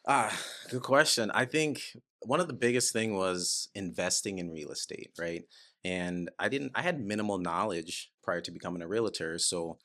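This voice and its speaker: A man in his thirties from Guyana, a realtor guest telling his story in a casual podcast chat, upbeat and energetic with frequent laughs. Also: deep voice, normal speed. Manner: upbeat and energetic, laughs often, loose rambling phrasing.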